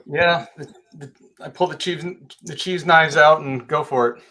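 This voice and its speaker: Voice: medium pitch, fairly even intonation, unhurried. A player in a tabletop roleplaying game, speaking casually and improvising, on a noisy mic with clicks.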